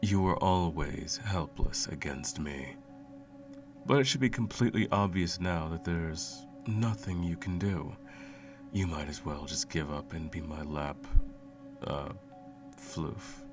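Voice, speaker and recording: A sadistic sorcerer, played by a man, murmurs in a very low, creaky voice. He draws his words out slowly, doting on his captive pet with theatrical, condescending sweetness. It is an ASMR roleplay with clear music underneath. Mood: smug, teasing. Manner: teasing, cooing, condescending, theatrical.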